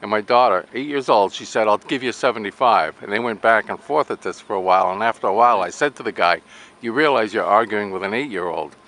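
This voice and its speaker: A man's voice: on the low side, quick pace, a bit bright, rough recording. An older man doing casual on-the-spot interviews about amateur radio, chatting and sharing anecdotes as he goes. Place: outdoors at a radio swap meet.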